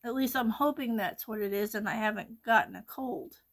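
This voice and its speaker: Woman host, slightly high gravelly voice, slow unhurried delivery, stuffy-nosed from allergies, casual sketching chat. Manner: slow, chatty, often drops to near-whisper. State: congested from allergies. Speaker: art channel host.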